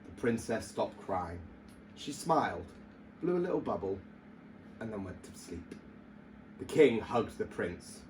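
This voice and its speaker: A man's voice: fairly low pitch, very animated, a little slow, pauses often, background noise. A man reading a children's story aloud like a teacher at storytime, theatrical and emphatic.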